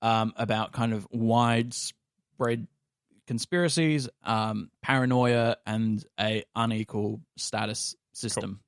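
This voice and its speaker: A man with an Australian accent talks casually in a lowish register. He pauses and feels out his thoughts, and the sound is close and dry. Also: fairly even intonation, smooth, no room sound. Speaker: actor and podcast cast member. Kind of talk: casual discussion.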